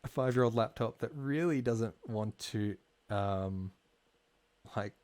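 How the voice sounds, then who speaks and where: A man talking casually while live-streaming a game, slightly hoarse, chatty and full of fillers, from a home streaming setup. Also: on the low side, slow pace, darkish tone. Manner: chatty, filler-heavy, talks while playing. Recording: clear background music under voice.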